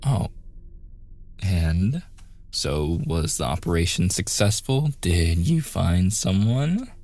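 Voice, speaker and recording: Guy acting as a teasing younger brother, young, deep n crackly voice, slow soft boyfriend asmr roleplay. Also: big pitch swings, faint music behind, exaggerated voice. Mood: playful teasing. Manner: playful, teasing, chuckling, hesitant casual phrasing.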